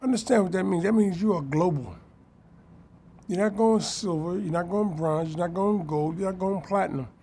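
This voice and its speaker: A man's voice: high voice, normal speed, mellow, slight background noise. New man, emphatic live-stream talk. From New York. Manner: emphatic, repetitive, self-promoting. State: getting over a cold. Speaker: fashion designer and business owner. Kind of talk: casual live-stream monologue.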